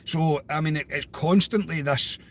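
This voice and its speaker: A Scottish man hosting a casual football podcast, his voice a bit croaky, chatty and repeating phrases for emphasis. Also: fairly high pitch, moderate pace, slight background noise. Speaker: podcast host.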